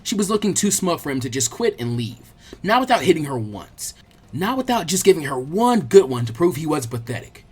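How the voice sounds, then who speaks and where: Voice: medium pitch, sing-song, brisk, slightly rough. A narrator reading an action story aloud as a dramatic, scripted audiobook. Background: background music.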